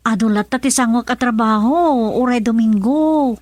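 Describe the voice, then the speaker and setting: A busy, reluctant Ilocano woman played by a radio actress. Her voice is high, with an Ilocano accent and the conversational delivery of a serial drama. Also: moderate pace, darkish tone, dry recording, close to an everyday voice. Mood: apologetic. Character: busy, reluctant female friend working abroad.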